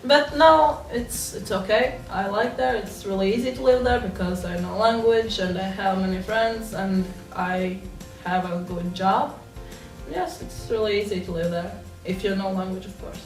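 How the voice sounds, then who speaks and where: A woman from Latvia with a mid-pitched voice. She answers hesitantly in simple phrases. The sound is roomy and distant, with faint music behind. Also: normal speed. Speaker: interviewee. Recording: echoey room, distant mic, faint music.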